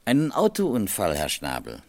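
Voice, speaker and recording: An actor playing a terse, probing police detective in a radio crime play, asking clipped, dry questions. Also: male voice, on the low side, wildly swooping pitch, normal speed, darkish tone. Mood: stern.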